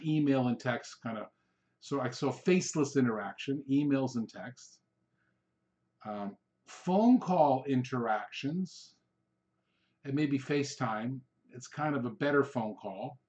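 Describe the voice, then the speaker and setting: A man, a native Hungarian speaker, hosting an informal solo coaching podcast, talking in an emphatic, rambling way and repeating himself to drive points home. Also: mid-range voice. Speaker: podcast host, real estate sales coach. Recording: dull, lo-fi podcast recording.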